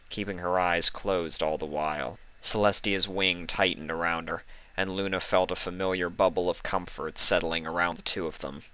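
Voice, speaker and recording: Deep, crisp male story narrator. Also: sharp tone, poor audio. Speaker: fan fiction reader, narrator. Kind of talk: dramatic scripted story narration.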